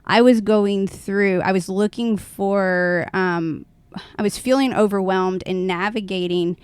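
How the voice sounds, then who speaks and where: A woman co-hosting a podcast, talking in a thoughtful, self-reflective and conversational way, with hesitant pauses. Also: mid-range voice, clean tone, no room sound. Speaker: podcast co-host.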